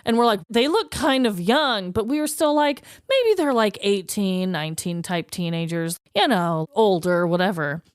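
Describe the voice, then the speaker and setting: A woman's voice: mid-range voice, lively intonation, normal speed, few pauses, clear, resonant tone, dry recording, studio quality. A woman podcast co-host telling stories casually for laughs, dramatic and emphatic, often repeating words for effect. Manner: dramatic, emphatic storyteller who repeats words and checks in with "okay?". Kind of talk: casual comic storytelling.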